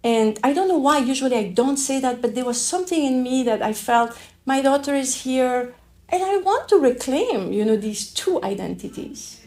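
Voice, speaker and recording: A middle-aged woman from Afghanistan with a French accent, telling her own story in a reflective, halting way with many restarts. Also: high-pitched, expressive, darkish tone. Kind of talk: reflective personal testimony.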